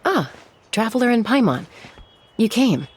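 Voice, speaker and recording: A woman's voice: a little high, big pitch swings, noisy background. A woman voicing a level-headed young female warrior in a game cutscene. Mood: measured. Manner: measured, thoughtful.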